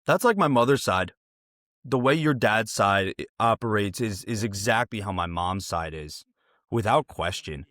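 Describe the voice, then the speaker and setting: A man's voice: fairly low pitch, lively intonation, moderate pace, a bit bright, no echo, clean studio sound. A man hosting a casual interview, talking in a wordy, affirming, conversational way. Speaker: interviewer, podcast host.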